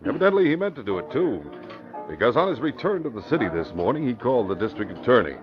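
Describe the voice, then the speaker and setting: An actor playing a wary, plain-spoken middle-aged police commissioner in 1940s radio style, measured and matter-of-fact. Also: male voice, medium pitch, very animated, over music, close to an everyday voice. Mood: wary, explaining. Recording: muffled old radio broadcast.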